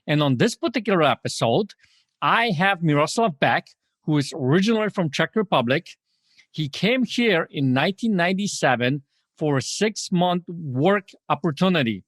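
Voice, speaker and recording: A man's voice: on the high side, expressive, moderate pace, fairly bright tone, dry recording. A man hosting a podcast, talking in an enthusiastic, informal way with fillers and repeated phrases. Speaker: podcast host. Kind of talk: podcast interview.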